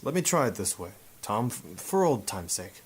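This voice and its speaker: A man's voice: on the low side, very animated, normal speed, breathy edge, exaggerated voice. A man playing a hard-boiled, sardonic photographer turned sleuth in a 1950s noir radio drama, with wry, tough-talking delivery. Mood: pressing.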